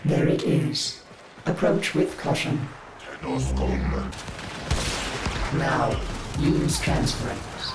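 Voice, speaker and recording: An actor voicing a fussy, dutiful ship computer in a sci-fi game, formally polite and precise. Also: male voice, mid-range voice, expressive, takes it slowly. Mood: dutiful, wary. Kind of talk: sci-fi game dialogue acting. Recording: echoing voice with background noise.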